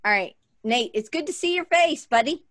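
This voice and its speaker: A woman's voice: sits high, animated, normal speed, a bit bright, no room sound. A playful woman hosts a group video call, and her voice swoops up and down as she jokes. The sound is dry and close, with no room around it. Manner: playful, joking, bouncy. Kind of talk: casual chat.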